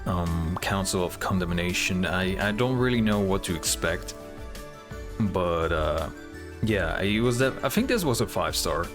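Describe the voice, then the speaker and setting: A man's voice: low voice, animated, unhurried, music in the background. A man hosting a reaction video, commenting casually and thinking aloud in an informal, easily amazed way.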